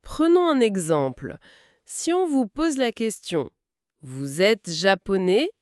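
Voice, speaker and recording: A woman giving a scripted language lesson, speaking slowly and articulating each phrase for learners to repeat. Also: medium pitch, sing-song, a little mellow, no echo, studio quality. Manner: slow, clearly articulated, modelling phrases for repetition. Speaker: French teacher.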